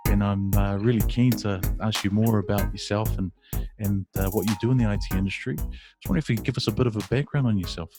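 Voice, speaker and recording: A man hosting an interview, easing into his questions with ums and pauses. His voice sits somewhat low, and clear music plays behind him. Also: steady tone, darkish tone. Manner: hesitant, filler-heavy. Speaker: interview host.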